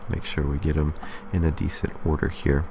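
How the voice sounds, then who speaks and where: A man's voice: rumbling low, little pitch movement, brisk, vocal fry, background noise. A man hosting a casual game building tutorial, explaining methodically step by step and often checking in with a short okay. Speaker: tutorial host.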